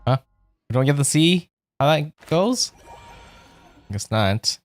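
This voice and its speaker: A man's voice: fairly high pitch, lively intonation, takes it slowly, slight background noise. A man casually commenting while playing a video game, musing aloud at what he sees on screen. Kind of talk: casual let's play commentary.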